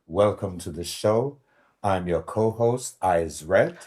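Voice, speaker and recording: A man's voice: deep voice, animated, slow, darkish tone, breathy edge. A man co-hosting a podcast, full of playful banter. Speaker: podcast co-host. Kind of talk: casual podcast chat.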